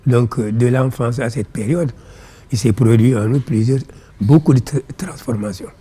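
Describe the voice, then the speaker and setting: A man with a Senegalese accent and Pulaar as his first language, explaining ideas in measured, didactic phrases as an interview guest. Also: medium pitch, warm tone, husky. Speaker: interview guest, philosophy author.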